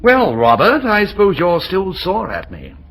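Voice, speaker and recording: An actor playing a self-assured, urbane, middle-aged professor with a condescending manner in an old-time radio drama. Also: male voice, sits high, huge pitch swings, measured pace, fairly bright tone, husky, heavily acted. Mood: civil. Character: suave, self-assured older professor and fiancé, mature, smooth-talking.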